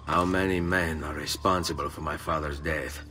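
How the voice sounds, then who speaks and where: An actor playing a determined young assassin in a video game, terse and questioning. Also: male voice, extremely low pitch, fairly even intonation, moderate pace, pushed and bright, slight background noise. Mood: intent. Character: determined young male assassin seeking vengeance. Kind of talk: dramatic video game acting.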